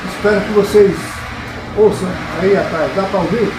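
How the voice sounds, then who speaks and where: A male pastor with a soft, weak voice, preaching, reading scripture and praying in a measured, solemn way into a handheld microphone. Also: high voice. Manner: measured, solemn, deliberate phrasing. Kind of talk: sermon with scripture reading and prayer. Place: house gathering, with a handheld microphone. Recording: echoey, distant, loud hum of background noise.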